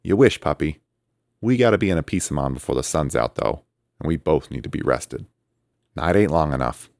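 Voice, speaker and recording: A man's voice: extremely low pitch, animated, fairly quick, vocal fry, a little mellow, dry recording, full character voice. A man voicing a small, cocky male bat creature who teases and taunts. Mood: teasing. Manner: sarcastic, taunting.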